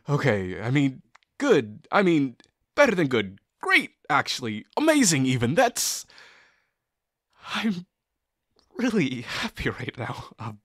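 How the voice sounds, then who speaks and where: A man's voice: mid-range voice, huge pitch swings, a bit bright, slightly rough, no room sound, studio quality, exaggerated voice. A man playing a shy, sincere young guy in love with his best friend, speaking hesitantly and intimately to the listener in an audio roleplay. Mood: excited, delighted, then tender, hesitant. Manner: hesitant, halting, full of pauses and sighs. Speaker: voice actor.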